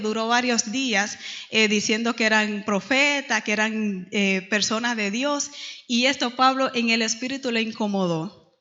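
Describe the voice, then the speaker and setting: A woman's voice: fairly high pitch, pushed and bright. A middle-aged woman from the Dominican Republic with a Dominican accent, teaching a Bible class in church and retelling stories with frequent hesitations. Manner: storytelling with many hesitations. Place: church hall. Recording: dull lo-fi church recording with long reverb.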